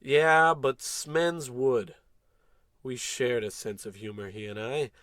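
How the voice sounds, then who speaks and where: A man's voice: medium pitch, fairly even intonation, slow pace, fairly bright tone, no echo. Man playing a pompous, blustering radio-play space captain. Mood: scheming, grandiose. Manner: grandiose, bossy, with dry sarcastic asides. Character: pompous, callous American spaceship captain, blustering and self-important. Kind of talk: comic old-time radio play acting.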